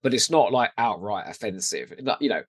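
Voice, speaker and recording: Low-voiced British man chatting on a podcast. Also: animated, fairly quick, breathy edge, no echo, clean studio sound. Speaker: podcast co-host. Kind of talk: casual opinionated podcast chat.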